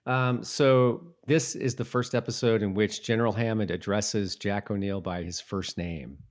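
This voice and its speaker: Casual male podcast co-host, slightly low voice. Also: steady tone, moderate pace. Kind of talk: casual rewatch podcast chat.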